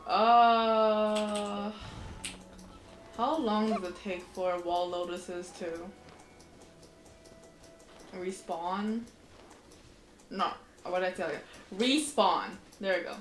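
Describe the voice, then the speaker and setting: A woman's voice: medium pitch, steady tone, takes it slowly, pauses often. A woman casually commentating a livestreamed game, talking to herself as she plays. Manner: talks to herself, loud exclamations. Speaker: game streamer. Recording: echoey room with game music. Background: game music.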